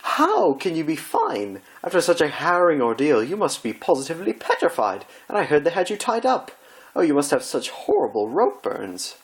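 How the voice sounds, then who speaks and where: Male reader as a refined female cartoon pony, raised pitch, theatrical, gushing, prim, fussing. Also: huge pitch swings, normal speed. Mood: dramatic alarm.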